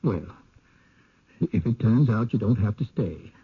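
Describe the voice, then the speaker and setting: Folksy man, very husky, sly, evasive, lively pitch, vintage radio drama. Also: measured pace, heavily acted. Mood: confiding. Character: sly, folksy small-town man who fibs and warns newcomers. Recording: dull vintage radio recording.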